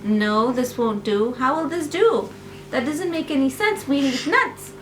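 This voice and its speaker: A woman's voice: high-pitched, lively intonation, a little slow, noisy background. A woman voicing a dramatic, earnest young hero of a bedtime story, plaintive and full of exclamations. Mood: indignant.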